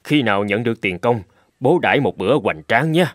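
A man voicing a poor, hard-working, gentle father in a folk-tale cartoon. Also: mid-range voice, lively intonation, a little fast, dry recording, clean studio sound, natural voice. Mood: kindly. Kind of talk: cartoon dubbing.

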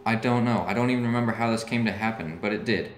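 Conflicted teen boyfriend man acting, lowish voice, audio drama, echoey room sound. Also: fast talker, lightly acted. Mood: uneasy, defensive. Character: evasive, conflicted two-timing teenage boyfriend. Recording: roomy, noisy home recording.

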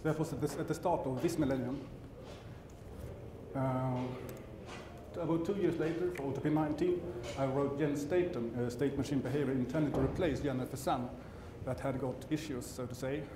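Middle-aged Swedish-accented man, conference speaker, dry and halting, recorded in an echoey hall over a steady hum. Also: medium pitch. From Sweden. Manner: halting, self-correcting, dry asides. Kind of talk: technical conference talk. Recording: roomy hall sound with background hum.

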